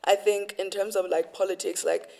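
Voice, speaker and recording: Young South African woman, South African accent, mid-pitched, lively storyteller in a casual interview. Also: moderate pace, gravelly, rough recording. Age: young adult. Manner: animated storytelling, acts out quotes. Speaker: university student guest.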